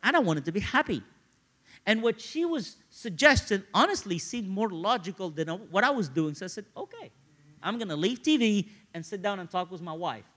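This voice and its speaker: A male preacher delivers a sermon full of personal stories. His voice is high and bright, swinging widely in pitch. He talks conversationally and repeats phrases to drive a point home. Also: big pitch swings, normal speed. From Egypt, grew up in Cairo.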